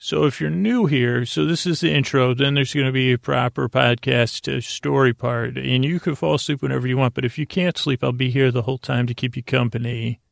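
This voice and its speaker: A man with a gravelly, creaky voice hosting a sleep podcast, rambling through an absurd bedtime monologue in a halting, stammering way that wanders into tangents. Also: mid-range voice, steady tone, dry recording, clean studio sound.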